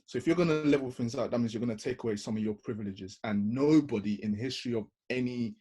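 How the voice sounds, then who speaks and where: Husky man making his points in order. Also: mid-range voice, dark tone. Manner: measured, lays out points in order. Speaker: panel guest. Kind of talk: reasoned panel discussion. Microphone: video call.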